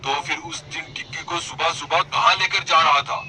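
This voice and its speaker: A man voicing a proud, commanding lion king and father in an animated moral story, with noise behind him. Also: a little high, moderate pace, close to an everyday voice. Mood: angry. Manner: commanding, stern, threatening. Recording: noisy background behind voice.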